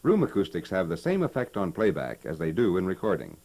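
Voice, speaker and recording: Deep-voiced American hi-fi expert. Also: male voice, wildly swooping pitch, darkish tone, full character voice. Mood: assured. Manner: instructive, folksy, measured. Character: knowledgeable, patient hi-fi expert, adult man. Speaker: presenter explaining stereo equipment. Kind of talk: scripted 1960 instructional tape, staged conversation. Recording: vintage 1960 promotional tape recording.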